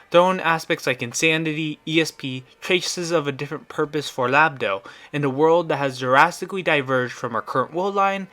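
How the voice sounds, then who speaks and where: A man reading a scripted video game review as narration, with a mid-pitched voice. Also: fairly bright tone.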